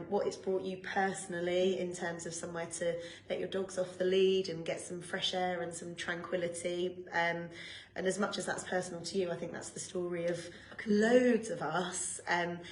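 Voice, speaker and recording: A woman's voice: lowish voice, flat delivery, normal speed. A woman, co-hosting a casual live-stream recap with a wry, chatty manner. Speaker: campaign co-host. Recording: echoey room, faint hiss.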